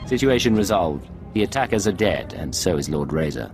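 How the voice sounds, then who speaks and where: An extremely low, creaky male voice plays an unflappable Imperial agent in a science fiction game. He is composed and clipped, with dramatic music behind him. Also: big pitch swings, a little fast. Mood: cool, resolute. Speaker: player character in a sci-fi game.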